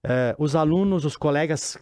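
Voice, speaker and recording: Brief: male co-host, expressive storyteller, dry studio sound. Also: fairly high pitch, normal speed, smooth, no room sound. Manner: animated storyteller, acts out quotes. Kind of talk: casual studio talk.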